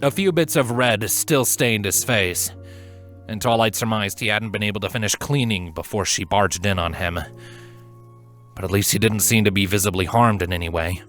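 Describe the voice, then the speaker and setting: Low-pitched man narrating a story, earnestly. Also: moderate pace, fairly bright tone, soft background music. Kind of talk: expressive scripted fan fiction narration.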